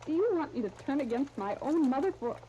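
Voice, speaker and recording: An actress playing a stubborn, hurt young daughter, earnest and defiant, in a muffled 1930s radio serial. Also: female voice, sits high, expressive, fast, mellow. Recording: muffled, noisy 1939 radio disc.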